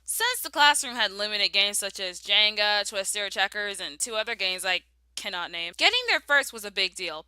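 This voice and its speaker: A woman's voice: a little high, sharp tone, clean tone, dry recording, rough recording. A young American woman narrating a scripted personal story with emphatic, dramatic delivery. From southern United States.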